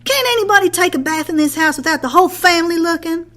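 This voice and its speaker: A woman's voice: very high voice, fast talker, a bit bright, pitched above the performer's own voice. A woman voicing an indignant, embarrassed young boy in a storybook reading, protesting and exclaiming. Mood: outraged.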